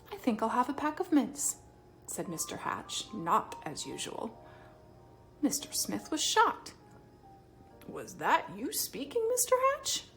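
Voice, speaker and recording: A woman reading a children's picture book aloud expressively, with dramatic emphasis and pauses. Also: mid-range voice. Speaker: read-aloud storyteller.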